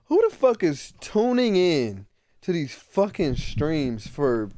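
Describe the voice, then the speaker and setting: Animated young podcast host. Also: male voice, on the high side, slow pace, no echo. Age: 20s. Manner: animated, swears, laughs often. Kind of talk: casual chat.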